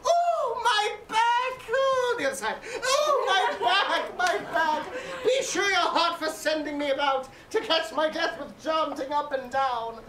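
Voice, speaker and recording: A woman's voice: high voice, moderate pace, hardly any pauses, close to an everyday voice. A woman playing a fussy, bawdy old nurse on stage in Shakespeare, rambling, groaning and teasing. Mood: weary complaining. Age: older. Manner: rambling, exclaiming, theatrical groaning and chuckling. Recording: echoey room, distant mic, faint noise.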